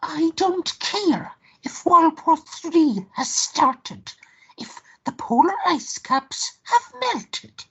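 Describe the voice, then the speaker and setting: A woman's voice: very high-pitched, big pitch swings, extremely slow, highs cut off, heavily acted. A woman playing an amorous, possessive lover in a radio play, sighing and cooing theatrically. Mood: possessive, indignant.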